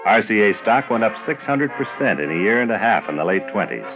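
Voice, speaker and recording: Deep-voiced older male radio narrator. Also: big pitch swings, a little fast, highs cut off, over music. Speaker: documentary narrator. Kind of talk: scripted narration with reminiscence.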